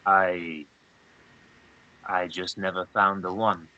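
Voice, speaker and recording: A man's voice: low-pitched, little pitch movement, slow, sharp tone, muffled recording, exaggerated voice. A man playing a reserved, polite young bachelor who speaks briefly in a script table read. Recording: noticeable background noise.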